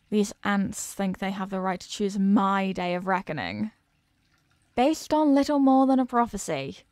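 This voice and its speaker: A woman playing an ancient captive eldritch goddess in a horror ASMR roleplay, haughty, hungry and menacing, speaking in an imperious, condescending and deliberate way. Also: mid-range voice, warm tone, no room sound, studio quality, lightly acted. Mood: indignant, scornful. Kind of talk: slow horror audio roleplay monologue, ASMR.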